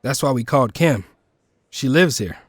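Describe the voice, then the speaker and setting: A man's voice: medium pitch, animated, moderate pace, breathy edge. A man playing a decisive, impatient teenage boy hero in an audio drama, informal and bold. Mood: earnest.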